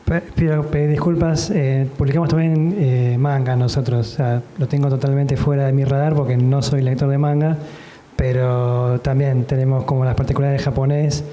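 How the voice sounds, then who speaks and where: A man with an Argentine Spanish accent speaks on a panel with a very dark, mellow tone. He talks in a relaxed way, with frequent fillers, and a faint hiss of noise sits behind him. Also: medium pitch, moderate pace. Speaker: panelist editor. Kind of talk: panel discussion answer. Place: book fair hall.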